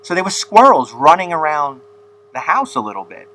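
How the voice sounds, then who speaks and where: A man's voice: a little high, very animated, normal speed, bright, forceful tone, slight background noise, poor audio. A man hosting a casual, rambling interview, telling stories and laughing at his own jokes. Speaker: podcast host.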